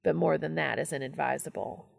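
A reader playing an earnest, reserved male TV producer in an audiobook story, with formal, measured phrasing. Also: medium pitch, gravelly. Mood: formal, apologetic.

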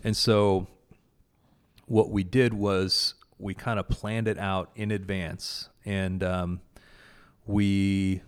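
A man's voice: low-pitched, level tone, slow, clean tone. A man casually and earnestly recounting a prayer gathering, with many fillers and restarts. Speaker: guest, prayer event organizer. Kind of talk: casual conversation about faith.

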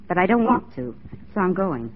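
An actress playing a spirited young frontier nurse, teasing, tender and self-possessed, in a 1940s radio drama. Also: female voice, fairly low pitch, big pitch swings, normal speed, slight background noise. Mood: tender, firm. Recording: dull 1940s radio recording.

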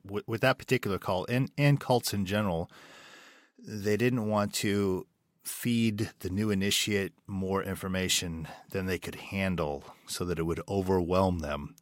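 A man with a very low voice talks through his answers in an interview, thinking aloud with fillers and restarts. The sound is close and dry. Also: no room sound. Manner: thoughtful, searching for words with many restarts and fillers. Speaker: writer and director, interview guest.

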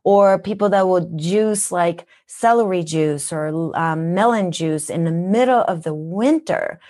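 Lower-voiced woman with Minnesotan accent. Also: measured pace, a little mellow. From Japan. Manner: earnest, teacherly, rhetorical questions. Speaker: guest wellness practitioner. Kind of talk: podcast interview.

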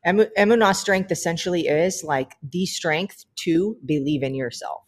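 A woman guest on a podcast talks earnestly and fast. Her voice sits a bit low, and she restarts sentences as she works out her point. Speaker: podcast guest, fitness business co-founder. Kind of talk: casual interview chat.

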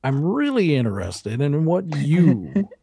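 A man playing a courteous, quietly menacing film studio boss in an audio drama, questioning slowly with insinuating hesitations. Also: fairly high pitch, huge pitch swings, vocal fry, husky, no room sound, voice pushed up, full character voice. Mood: probing. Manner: halting, deliberate, insinuating questioning. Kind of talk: 1920s-set audio drama roleplay.

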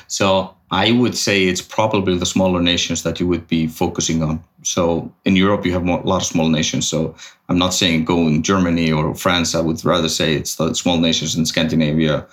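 A man's voice: low voice, level tone, fairly quick. A man being interviewed as a podcast guest, thinking aloud and often restarting his sentences. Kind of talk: casual business interview.

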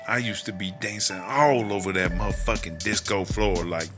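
A man's voice: lowish voice, normal speed, over music, natural voice. A man playing a swaggering, sassy female American inmate in a comedy audio drama, drawling and boastful. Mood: nostalgic, relaxed. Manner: drawling, boastful, third-person self-references.

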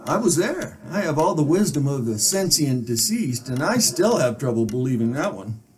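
Audio drama ghost male actor: talkative, teasing, theatrical, very lively pitch, noisy recording. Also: moderate pace, close to an everyday voice. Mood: exasperated, chatty. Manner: chatty, theatrical, teasing, sputtering. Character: jovial talkative male ghost of dead football pal.

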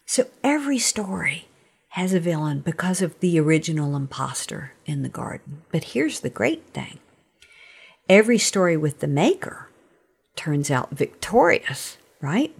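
A woman's voice: medium pitch, gravelly, husky. A woman giving a conversational, faith-based teaching talk as a podcast host, earnest and emphatic, often posing rhetorical questions.

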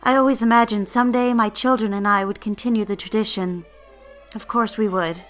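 A woman's voice: medium pitch, fairly even intonation, brisk, close to an everyday voice. Actress as young bride-to-be in old-time radio drama, genteel and earnest, romantic, nervy, faint music under her. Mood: wistful. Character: anxious young bride-to-be office woman, reflective romantic narrator. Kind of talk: dramatized first-person narration and dialogue, period radio drama.

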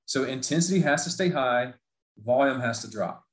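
A man's voice: mid-range voice, animated, normal speed. Earnest male coach in an echoey room. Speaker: performance coach. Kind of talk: panel discussion. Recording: roomy echo.

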